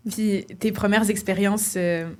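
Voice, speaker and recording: A woman's voice: mid-range voice, steady tone, measured pace, darkish tone. A young woman hosting a student podcast, asking informal, friendly questions with some fillers. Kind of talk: podcast interview.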